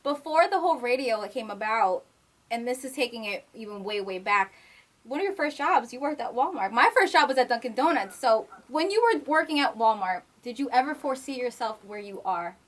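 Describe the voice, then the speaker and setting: Woman, fairly high voice, chatty, playful interviewer. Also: animated, fairly quick. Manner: chatty, playful, laughs often. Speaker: interview host. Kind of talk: casual interview chat.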